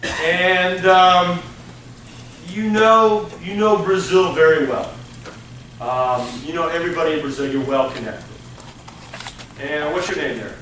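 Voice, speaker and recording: A man's voice: a little high, a little slow, long pauses, fairly bright tone, slight background noise. A man teaching a college hospitality class, animatedly acting out business deals with his students. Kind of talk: interactive classroom lecture. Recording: echoey classroom from far off.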